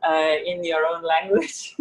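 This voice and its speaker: Husky-voiced woman, animated and chatty. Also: lowish voice, takes it slowly. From Lithuania. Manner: animated, laughing often, lots of "like". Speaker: artist in a collaboration. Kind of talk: casual video-call chat. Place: office in Manhattan.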